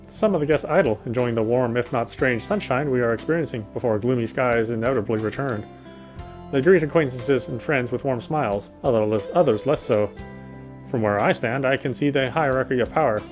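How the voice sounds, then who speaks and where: A man's voice: medium pitch, a little fast. Man reading a game story aloud over music. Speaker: let's player reading a visual novel aloud. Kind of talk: read-aloud narration of a game script.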